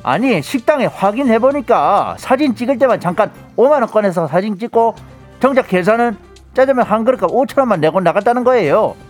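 A man on a radio show who recounts a news story in a playful, chatty way. His voice sits high and swoops up and down a lot. Soft music plays faintly behind him. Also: animated, fairly quick. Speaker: guest commentator. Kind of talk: animated radio chat.

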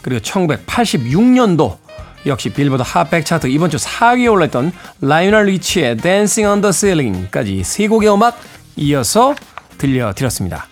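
Lively middle-aged male radio DJ over music. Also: fairly high pitch, moderate pace. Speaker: radio host and DJ. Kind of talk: music radio presenting with casual personal anecdotes.

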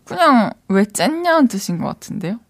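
A woman's voice: fairly high pitch, big pitch swings, brisk, dry recording. A young woman from the Gyeongsang region with a Korean Gyeongsang accent, co-hosting a casual chat, playful and teasing, laughing often. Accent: Gyeongsang dialect of Korean. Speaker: radio co-host.

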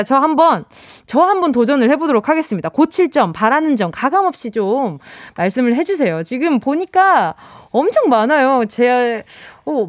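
A woman radio DJ with a slight Busan accent, chatting playfully with her listeners and laughing as she reads their messages. Her voice sits high and swings widely in pitch. The studio sound is close and dry. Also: dry recording. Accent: Busan dialect. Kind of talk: live radio show hosting, reading listener messages. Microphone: studio microphone.

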